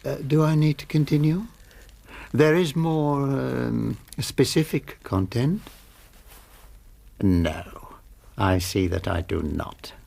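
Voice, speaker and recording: A man playing an oily, menacing English gentleman blackmailer in a radio drama, falsely polite and theatrical. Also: medium pitch, slow pace, creaky, long pauses. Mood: mocking relish. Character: silky, menacing older gentleman blackmailer, mock-courteous.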